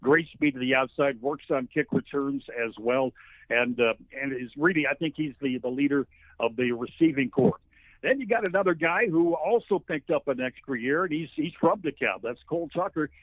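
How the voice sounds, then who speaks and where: A man's voice: medium pitch, lively intonation, normal speed, dry recording. An American man, a play-by-play announcer, talking football as an interview guest in a folksy, talkative storytelling way. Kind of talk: conversational sports commentary interview. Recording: thin phone-like line.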